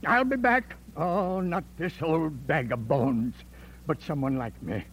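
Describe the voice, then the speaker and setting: An actor playing a cunning, defiant old villain who feigns feebleness, in theatrical 1950s radio drama style. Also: male voice, fairly high pitch, slow, fairly bright tone, slightly rough, exaggerated voice. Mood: cynical, defiant. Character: cunning, defiant old male villain, frail but unrepentant. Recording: noisy, humming vintage radio recording.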